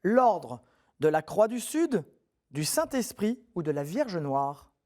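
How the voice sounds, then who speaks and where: A man hosting a televised quiz show, reading questions clearly and encouraging contestants in a friendly way. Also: sits high, sing-song, slow pace, darkish tone.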